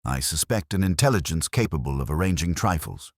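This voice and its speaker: A man voicing a calm, incisive consulting detective in a mystery audiobook, with a crisp, deliberate manner. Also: deep voice, dry recording, clean studio sound.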